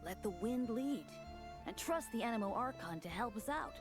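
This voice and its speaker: A woman voicing a calm, pious young church deacon in a video game, polite and measured, with music behind. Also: on the high side, lightly acted. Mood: calm, courteous. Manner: polite, measured, smooth-tongued.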